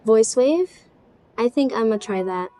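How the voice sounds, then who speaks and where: A woman's voice: on the high side, expressive, moderate pace, warm tone. A woman chatting casually with friends in a gaming voice chat. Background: music.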